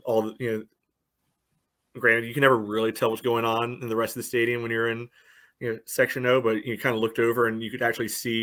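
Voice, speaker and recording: A man's voice: medium pitch, a bit bright. A man co-hosting a soccer podcast in casual chat, talking hesitantly and peppering his speech with "you know".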